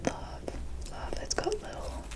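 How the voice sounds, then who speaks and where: A woman whispering an ASMR show-and-tell in a soft, rambling, appreciative way. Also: unhurried. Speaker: ASMR host showing a doll collection.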